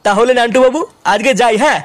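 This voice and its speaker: A man with a West Bengali accent voicing a boastful, smooth-talking music teacher in a comedy cartoon. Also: very high-pitched, fast talker, fairly bright tone, no room sound.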